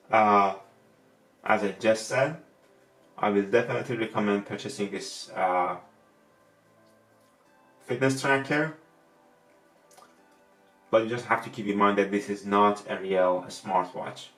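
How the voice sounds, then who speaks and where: Persian-accented man, halting review talk. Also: lowish voice, fairly bright tone. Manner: halting, repeats words and restarts often. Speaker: tech reviewer. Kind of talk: casual product review. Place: room at a desk, indoors. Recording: roomy, distant mic with faint music.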